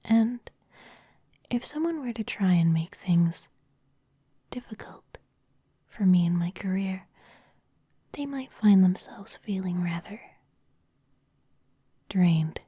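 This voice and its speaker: A woman's voice: mid-range voice, animated, slow pace, long pauses, warm tone, husky, heavily acted. A woman voicing a poised female vampire office executive in an ASMR roleplay, mostly whispering, teasing with veiled threats. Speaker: ASMR performer.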